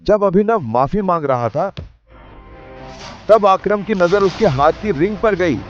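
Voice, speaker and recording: High-pitched male story narrator. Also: animated, a little slow, highs cut off, faint music behind. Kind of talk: dramatic scripted story narration.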